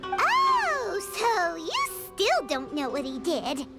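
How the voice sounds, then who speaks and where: A very high, childlike squeak a tiny floating fairy girl in a video game. The delivery is perky and exclamatory, leaping wildly in pitch, with loud music underneath. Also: huge pitch swings, very slow, full character voice. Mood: perky, curious.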